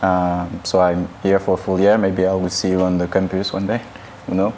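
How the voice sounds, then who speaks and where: Young man, lower voice, easygoing, brief, faint ambience. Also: monotone, normal speed, darkish tone, a little hiss or hum. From France. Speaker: student guest. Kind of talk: casual interview answers.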